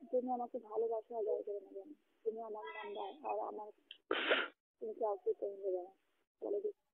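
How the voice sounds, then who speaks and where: A young woman arguing on a phone call, indignant and accusing, her voice often jumping high. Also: steady tone, fairly quick, creaky, husky, no echo. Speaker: caller in a phone argument. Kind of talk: heated phone argument. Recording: tinny phone call recording.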